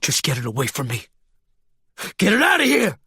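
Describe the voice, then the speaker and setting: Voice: mid-range voice, animated, normal speed, breathy edge, dry recording, studio quality, heavily acted. A narrator voicing a hostile male crime boss, dismissive and rattled, in an audiobook. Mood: furious, panicked. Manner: growling, stammering, shouting.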